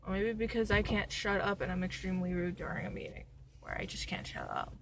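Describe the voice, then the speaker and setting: A hoarse woman chatting casually to camera in a rambling, self-mocking way. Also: medium pitch, brisk, slight background noise. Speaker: vlogger. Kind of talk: casual vlog chat. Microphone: camera on a stand.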